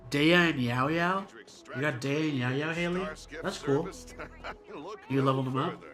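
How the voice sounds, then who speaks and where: A man's voice: on the high side, moderate pace. A man streaming a game with casual, slangy, rambling commentary to his chat. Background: game music.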